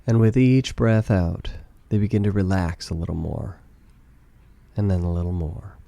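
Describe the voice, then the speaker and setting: A man leads a guided meditation in a low, very mellow voice. His delivery is calm and gentle, giving soothing instructions in a relaxed, warm tone. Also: moderate pace, creaky, no room sound.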